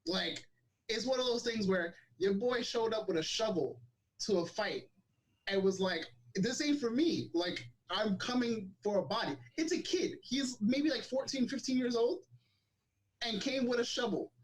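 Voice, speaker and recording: Animated high-voiced man on a call. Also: unhurried, a little hiss or hum, low-quality recording. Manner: animated, laid-back storytelling with slang. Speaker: podcast guest. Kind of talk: casual chat. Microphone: video call.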